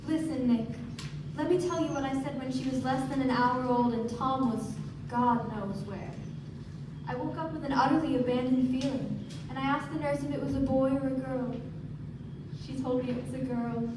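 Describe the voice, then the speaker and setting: A woman plays a young society wife with a high voice, gushing and affectedly romantic with a cynical streak. It is radio-play acting, echoey and far from the microphone. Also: normal speed, close to an everyday voice. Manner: gushing, theatrical. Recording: echoey stage recording from afar, noisy.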